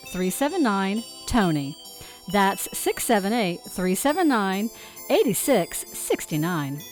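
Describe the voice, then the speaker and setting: A woman announcer reading scripted closing credits for an audio show. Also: mid-range voice. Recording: music under the voice. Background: music.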